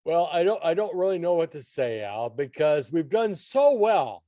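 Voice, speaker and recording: A man's voice: a little high, very animated, moderate pace, warm tone, dry recording. A man giving opinionated banter as a radio guest critic, blunt, emphatic and curmudgeonly.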